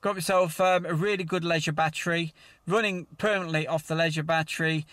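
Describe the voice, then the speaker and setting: A man's voice: a little high, steady tone, a little fast, fairly bright tone, no room sound. A man chatting casually as controller of a CB radio net, in a hesitant, chatty way. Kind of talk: casual amateur radio chat. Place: mobile radio setup.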